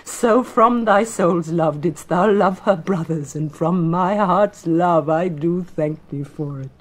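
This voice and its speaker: A woman's voice: on the low side, takes it slowly. An actress playing a bitter, defiant grieving queen in intense theatrical Shakespearean verse. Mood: scornful. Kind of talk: Shakespearean tragic verse acting.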